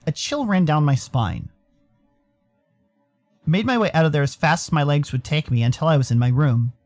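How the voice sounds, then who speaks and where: American man, lively intonation, theatrical storytelling, visual novel narration, music underneath. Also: a little high. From Arizona, United States. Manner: theatrical, expressive. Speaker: streamer, voice performer.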